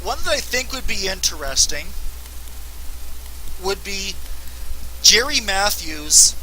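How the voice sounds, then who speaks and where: A man chats through fan questions in a loose, rambling way, often laughing at his own jokes. His voice sits a little high and rings very bright. He takes his time, talking slowly with a faint hiss of noise behind him. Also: lively intonation, low-quality recording. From Canada. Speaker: wrestling promoter answering fan questions. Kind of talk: casual unscripted Q&A.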